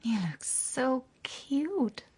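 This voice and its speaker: A husky, seductive schemer. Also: female voice, sits high, sing-song, drawn-out words, darkish tone, heavily acted. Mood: sly, calculating. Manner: sultry, teasing, controlled. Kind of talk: radio drama dialogue.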